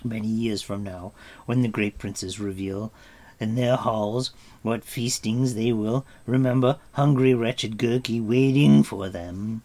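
A man's voice: lowish voice, steady tone, a little slow, warm tone. A man voicing a groveling, greedy, hairy forest creature who wails and pleads, in a storybook reading. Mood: wailing. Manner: wailing, pleading, talks of himself by name.

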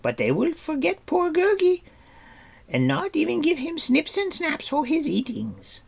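A man's voice: extremely high pitch, wildly swooping pitch, measured pace, vocal fry, pitched above the performer's own voice, exaggerated voice. A man voicing a hungry, fawning hairy forest creature who whines and wheedles. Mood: whining. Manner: wheedling, talks of himself by name.

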